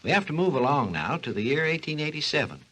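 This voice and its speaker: A learned, patient doctor of science on a vintage 1940s radio program. He lectures like a storyteller, with animated rises and falls. The voice has a slightly rough, unclear grain from the old recording. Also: a little high, fast. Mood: explanatory. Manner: didactic, storytelling delivery. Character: learned, patient male scientist explaining invention history. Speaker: expert guest on a science program. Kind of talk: 1940s scripted educational radio dialogue.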